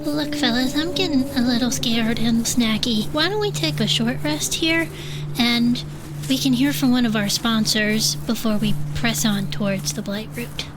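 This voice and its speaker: Woman playing high-voiced, chatty foodie sidekick. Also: moderate pace, background noise, lightly acted. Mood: friendly. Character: chatty, gentle, food-loving adventurer sidekick. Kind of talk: immersive fantasy audio drama.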